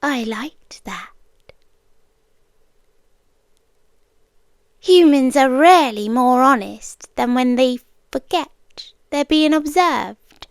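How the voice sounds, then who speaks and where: A woman performs an ageless otherworldly girlfriend with a British accent and a high, hushed voice. She speaks very unhurriedly in an ASMR roleplay, drifting into whispers with obsessive, wistful devotion. The sound is intimate and dry, right at the microphone. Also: animated, dry recording, full character voice. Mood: wistful fascination. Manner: slow, deliberate, hushed, with dramatic pauses. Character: ancient otherworldly female being, obsessively devoted, wistfully watching humans. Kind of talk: soft ASMR roleplay, half-whispered monologue. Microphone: close ASMR microphone.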